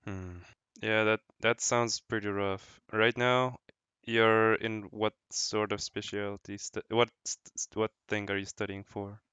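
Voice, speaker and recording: A man in his twenties chats as a podcast guest in a low, very smooth and clear voice. His tone is bright, and the sound is close and dry. He asks follow-up questions and adds dry quips. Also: little pitch movement, a little slow, dry recording, highs cut off. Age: 20s. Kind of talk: casual chat.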